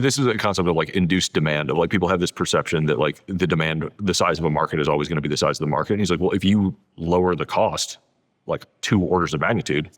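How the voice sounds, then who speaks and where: A man with a distinctly low voice speaks as a guest author. He explains his ideas casually, in loose conversational phrases.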